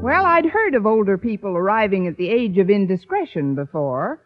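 An actress playing a wry, quick-witted schoolteacher in an old-time radio comedy, with dry, sardonic wisecracks. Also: female voice, mid-range voice, very animated, over loud music, natural voice. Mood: wry narration. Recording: dull vintage radio recording.

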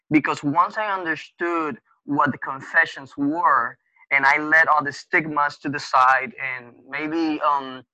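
A man in his thirties whose first language is Spanish talks casually as a podcast guest, his voice bright and forceful. He speaks haltingly, with frequent fillers and restarts. The sound is dry, with almost no room. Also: fairly high pitch, no room sound, poor audio. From Spanish-speaking country. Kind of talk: casual conversation.

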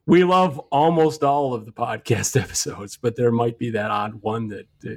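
A man with a noticeably gravelly, fry-laced voice co-hosts a laid-back podcast from his home. He rambles, doubles back on his own words and leans on little fillers as he tells a story. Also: mid-range voice, normal speed. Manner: rambling, frequent restarts and fillers. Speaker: podcast co-host. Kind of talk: casual podcast chat.

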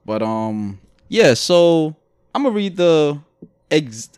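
A man's voice: fairly high pitch, very animated, slow pace, darkish tone, clear, resonant tone, dry recording, studio quality. A man from Brooklyn with a Brooklyn accent, co-hosting a podcast in a laid-back, sarcastic way. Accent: Brooklyn, with Guyanese touches. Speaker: podcast co-host. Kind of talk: casual podcast chat.

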